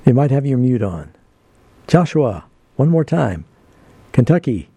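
A man hosting a call-in Bible radio show, answering questions in a relaxed, conversational way with frequent fillers. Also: mid-range voice, unhurried, breathy edge, dry recording.

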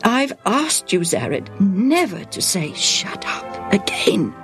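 A woman's voice: lowish voice, lively intonation, a little slow, music in the background. A woman voicing a firm mother of two in a storybook, correcting and appealing to her children. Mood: pleading. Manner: firm, scolding, pleading. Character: stern but pleading mother of two.

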